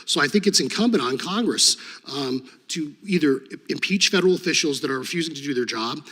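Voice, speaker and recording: A man's voice: fairly high pitch, animated, brisk, sharp tone. A man, a former state attorney general who grew up with Serbo-Croatian as his first language, giving earnest testimony at a congressional hearing at a desk microphone, with frequent fillers and restarts. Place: large hearing room. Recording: long hall reverb.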